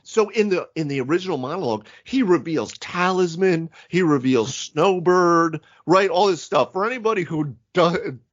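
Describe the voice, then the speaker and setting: A man's voice: on the high side, animated, moderate pace, few pauses, muffled recording. A man chatting casually as a podcast co-host about tabletop games, informal and slangy, often saying dude and right.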